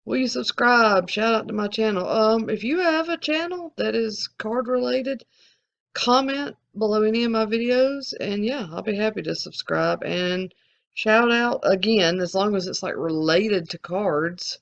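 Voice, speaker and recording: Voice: medium pitch, expressive, measured pace, gravelly, fairly bright tone, muffled recording. An adult card-channel host with a Southern Alabama accent, answering viewer questions in a candid, rambling way with restarts. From American South. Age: adult, collecting since the eighties. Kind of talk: casual Q&A to viewers.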